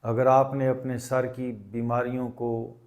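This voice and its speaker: A man leading a guided meditation as a teacher, speaking deliberately and instructively in short phrases with pauses. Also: medium pitch, little pitch movement, a little mellow.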